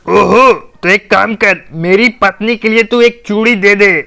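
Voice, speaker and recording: A man plays a male bird shopper in a cartoon story. His voice is high and bright, with a gravelly creak, over faint music. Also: a little slow, heavily acted. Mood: casual, buying. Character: male bird customer, husband buying bangles. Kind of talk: children's cartoon voice acting.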